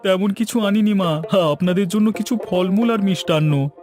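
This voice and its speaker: Very high-voiced polite young son-in-law played by a man. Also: normal speed, darkish tone, soft background music, lightly acted. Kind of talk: Bengali cartoon story acting.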